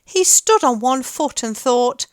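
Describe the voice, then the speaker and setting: A woman reading a children's picture book aloud in a gentle, expressive storytelling manner. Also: high-pitched, slow pace, no room sound, studio quality.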